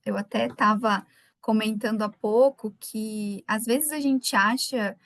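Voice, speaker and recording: A woman's voice: a little high, steady tone, slow, no room sound. A woman from southern Brazil with a light Gaúcho accent, hosting a live webinar from a computer mic and chatting with viewers. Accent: light Gaúcho Brazilian Portuguese. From Rio Grande do Sul, Brazil. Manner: chatty, warm greetings to viewers by name. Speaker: webinar speaker.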